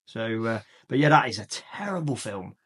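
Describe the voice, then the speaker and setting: Brief: male co-host, mid pitch, opinionated, rambly film-chat delivery. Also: lively intonation, normal speed, a bit bright, breathy edge. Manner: rambling, opinionated, many fillers and restarts. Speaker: podcast co-host.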